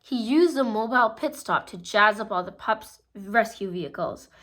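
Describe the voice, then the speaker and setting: A woman reading a children's storybook aloud in a gentle, steady storytelling manner, with small slips now and then. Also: mid-range voice, moderate pace. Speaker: storybook reader. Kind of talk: children's read-aloud narration.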